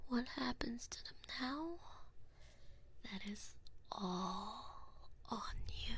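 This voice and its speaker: A woman's voice: mid-range voice, a bit bright, exaggerated voice. A woman voicing a sadistic, smug female alien enforcer in an ASMR roleplay, taunting and condescending, sometimes dropping to a whisper. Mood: menacing, gloating. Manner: slow, taunting, condescending, slipping into breathy whispers. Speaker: audio roleplay performer. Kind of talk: ASMR roleplay acting, addressing the listener.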